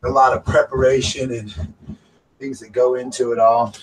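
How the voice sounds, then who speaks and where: A raspy-voiced man with a Southern US accent chatting casually as the host of a live stream, now and then breaking off to call his dogs. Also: medium pitch, level tone, moderate pace. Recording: far from the mic with faint background noise.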